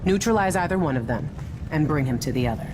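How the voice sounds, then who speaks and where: A woman playing a steady informant who gives a reconnaissance briefing in a video game. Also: sits low, quick pace, noisy background. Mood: measured, businesslike. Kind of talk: video game mission dialogue.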